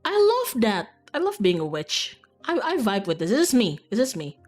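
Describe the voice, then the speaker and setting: A woman's voice: medium pitch, huge pitch swings, normal speed. Giggly woman chatting on a game stream. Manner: giggly, rambling, informal. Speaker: streamer playing a visual novel. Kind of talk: casual chat, live game stream.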